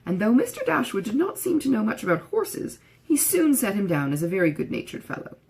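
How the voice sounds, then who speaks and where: A woman reading a classic novel aloud as scripted narration, in an expressive storytelling manner. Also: medium pitch, creaky. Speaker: audiobook reader.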